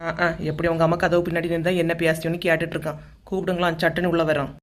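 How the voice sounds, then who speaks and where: A woman voicing a cheeky, sharp-tongued young daughter-in-law in a comedy cartoon, teasing and answering back in a Kanyakumari Tamil accent. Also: on the low side, level tone, racing, natural voice. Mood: resentful, cheeky.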